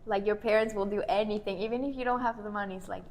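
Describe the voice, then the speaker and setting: A woman's voice: medium pitch, steady tone, quick pace, crowd noise. A young woman from Mexico City with a Mexican accent, co-hosting a casual chat and filling her speech with "like" and "um". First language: Spanish. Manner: chatty, with many "like" and "um" fillers. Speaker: podcast co-host.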